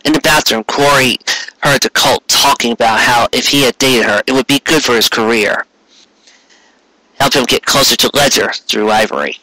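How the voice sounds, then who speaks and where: A man's voice: mid-range voice, steady tone, normal speed, sharp tone, dry recording, low-quality recording. A man narrating a story as a dramatic reading, intense in manner, now and then stumbling over words. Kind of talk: dramatic reading of fan fiction.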